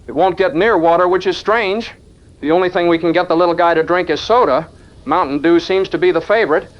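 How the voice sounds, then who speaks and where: A man's voice: sits high, a little fast, close to an everyday voice. A man playing an earnest young university lab researcher, speaking conversationally in an interview-style audio drama. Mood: proud, excited. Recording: dull audio with background noise.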